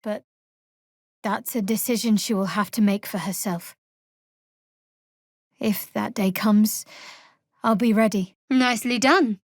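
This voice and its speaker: An actress playing a guarded young cleric of a dark goddess in a video game, with a dry, sardonic, clipped manner. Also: female voice, mid-range voice, steady tone, slow, long pauses, dry recording. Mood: soft, reflective. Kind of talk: video game character voice lines.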